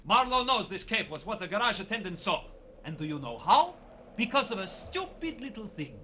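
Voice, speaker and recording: An actor playing a half-crazed, delicate ballet dancer turned killer in a theatrical, menacing old radio crime drama. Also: male voice, high voice, sing-song, a little fast, bright, forceful tone, exaggerated voice. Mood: menacing, bitter. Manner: theatrical, menacing, bitterly taunting. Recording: old radio recording, faint hiss.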